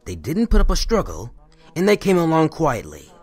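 A man's voice: fairly high pitch, huge pitch swings, normal speed, darkish tone, breathy edge, full character voice. A man playing a stern, exasperated military officer in a comic dub. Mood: irate.

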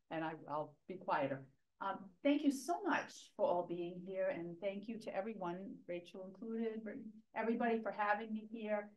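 A middle-aged woman fashion historian, starting a public lecture in a library meeting room, loud, chatty and enthusiastic, heard from a camera in the audience. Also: mid-range voice, lively intonation, normal speed, highs cut off. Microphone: far camera in the audience.

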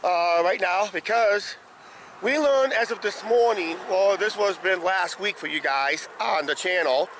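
A middle-aged man hosts a casual vlog in a slightly hoarse, fairly high voice. He rambles along with frequent hesitant tags. He is filming himself outdoors at arm's length, with clear ambient noise around him. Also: a little slow, a bit bright, rough recording. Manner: rambling, repeats "uh, basically". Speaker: vlog host. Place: outdoors, roadside and ballpark. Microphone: handheld camera at arm's length.

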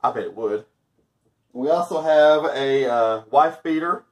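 A man's voice: medium pitch, unhurried. A man in his thirties chatting casually while testing a laundry product, joking and chuckling often, recorded on a camera mic in a small room. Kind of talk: casual product review chat. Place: small indoor room, backdrop with stars.

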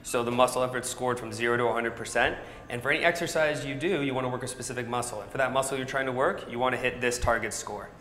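Fitness brand rep, man in his thirties, rapid instructive delivery, echoey gym recording. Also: medium pitch, steady tone, pushed and bright, slight background noise. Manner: fluent, instructive, coach-like. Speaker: startup product specialist. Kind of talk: product demo explanation. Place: large indoor gym with arched hallway.

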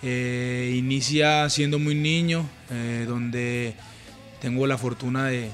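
A young Colombian man giving earnest interview answers on a sofa at home, speaking into a handheld mic, calm and full of small restarts. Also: medium pitch, slow pace, soft background music. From Urabá, Colombia. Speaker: interviewed footballer. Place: living room, on a sofa indoors. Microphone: handheld mic held at chest.

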